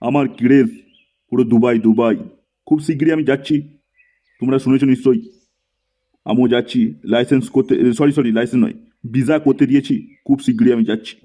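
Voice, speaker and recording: A man's voice: mid-range voice, fairly even intonation, brisk, long pauses, dark tone, lightly acted. A man voicing a pompous, bragging paratha seller who fancies himself a star DJ in a comedy cartoon. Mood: boastful. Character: boastful male paratha seller and self-styled celebrity DJ. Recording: muffled lo-fi audio with music underneath.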